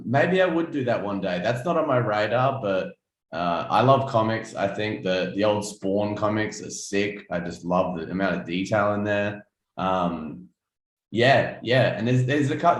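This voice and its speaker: A man's voice: lowish voice, roomy sound. A man in his twenties with an Australian accent, a guest artist chatting casually in an interview, laid-back with many ums and restarts. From South Australia.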